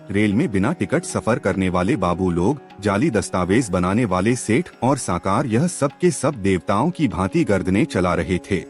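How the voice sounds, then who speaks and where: A man narrating a literary story as a scripted audiobook, with expressive, emphatic delivery. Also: lowish voice.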